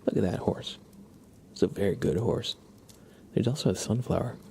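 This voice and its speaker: Low, creaky, half-whispering ASMR man. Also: slight background noise. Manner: playful, gently joking, unhurried. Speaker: ASMR livestream host. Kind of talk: ASMR tarot card reading for viewers.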